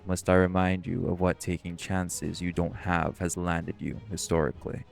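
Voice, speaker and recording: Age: adult. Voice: very deep, gravelly male actor as stern shifter. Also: completely flat delivery, normal speed, faint music behind. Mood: serious, cautioning. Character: stern, protective male marine shifter, bossy diving leader. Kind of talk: scripted audio roleplay acting.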